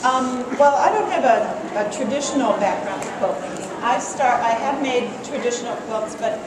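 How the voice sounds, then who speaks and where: A woman's voice: a little high, lively intonation, moderate pace. Chatty woman quilter in an echoey hall. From Colorado. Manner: chatty, self-deprecating, with many fillers. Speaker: quilt artist and teacher. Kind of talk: casual demonstration and interview. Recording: echoey hall from far off, loud ambient noise.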